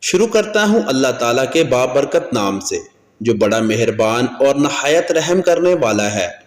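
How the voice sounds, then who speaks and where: A man's voice: medium pitch, very animated, a little slow. Echoey male storyteller with long reverb. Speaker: storyteller, channel narrator. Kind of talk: scripted narration of an Urdu moral tale.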